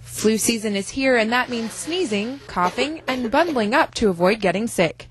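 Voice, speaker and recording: Young woman, newsreader, crisp, measured, higher voice. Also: expressive, background noise. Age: young adult. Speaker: news reporter and anchor. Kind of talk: scripted news narration.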